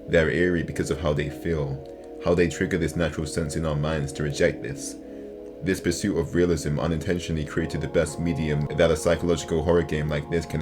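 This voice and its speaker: A man reading scripted, analytical narration as the host of a video essay. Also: very deep voice. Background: music.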